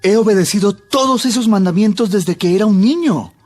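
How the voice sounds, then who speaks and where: An earnest, wealthy male leader puts himself forward eagerly in a dramatized audio Bible. His voice is high for a man. Music is clearly heard behind him. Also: a little fast, darkish tone. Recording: background music under the voice.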